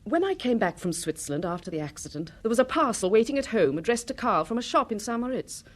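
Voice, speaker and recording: A woman playing an anxious, insistent wife in a radio drama, speaking earnestly and with deliberate emphasis. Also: fairly high pitch, sing-song. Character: anxious, insistent wife convinced her husband lives.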